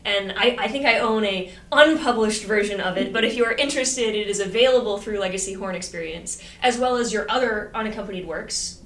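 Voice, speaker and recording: A woman's voice: mid-range voice, fairly quick, hardly any pauses, fairly bright tone, echoey room, distant mic. Woman interviewer heard from off-mic. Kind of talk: casual interview questions.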